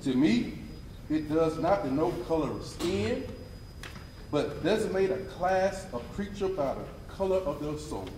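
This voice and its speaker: Man playing period orator, higher voice, very varied pitch, indignant, deliberate, theatre hall, noisy. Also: very animated. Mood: solemn indignation. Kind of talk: readers theatre stage acting. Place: theatre stage. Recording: echoey hall, distant mic, background noise.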